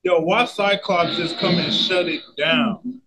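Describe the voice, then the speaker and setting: A man's voice: high voice, slow, heavy background noise. A man giving casual, slangy, profane watch-along commentary as a host, sounding amused. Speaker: podcast host reacting to a cartoon.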